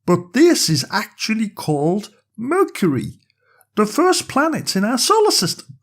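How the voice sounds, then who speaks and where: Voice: medium pitch, wildly swooping pitch, measured pace, voice pushed up, heavily acted. A storyteller voicing a small, chubby, friendly alien creature who eagerly explains things as a planetary guide in a children's bedtime story. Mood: eager, explaining.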